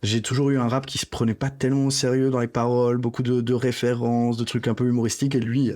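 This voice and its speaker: A man's voice: medium pitch, little pitch movement, quick pace, darkish tone. A man in his thirties from Belgium, a Greek speaker, chatting casually as a rapper in an interview. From Belgium, Greek parents.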